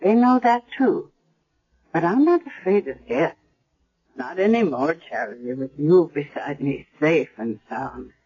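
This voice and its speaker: A frail, elderly grandmother speaks with gentle, pleading resignation. It is a 1970s radio drama performance, heard through a dull vintage recording. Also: low-pitched, expressive, a little slow, slightly rough, exaggerated voice. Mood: resigned, tender. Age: older. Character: frail old blind grandmother, dying, tender and resigned.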